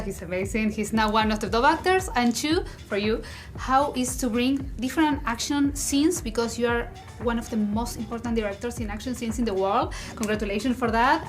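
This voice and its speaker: Brief: upbeat woman host, Spanish native, slightly high voice, soft music bed. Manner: enthusiastic, effusive praise. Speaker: interviewer. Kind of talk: entertainment interview.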